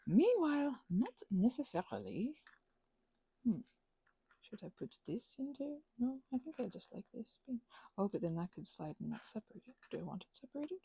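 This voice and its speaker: A woman rambling casually as she thinks aloud over a craft, halting and murmuring to herself, with playful asides to listeners. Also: on the high side, huge pitch swings, creaky, mellow, husky, no room sound, low-quality recording. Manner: halting, drifts into murmurs and self-talk. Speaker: ASMR and audio creator.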